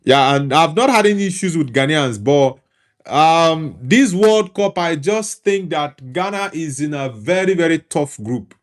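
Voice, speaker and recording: A man's voice: fairly high pitch, expressive, a little slow. A man giving casual football commentary as a show host, hesitant and prone to restarting his sentences. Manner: hesitant, restarts often, says "like".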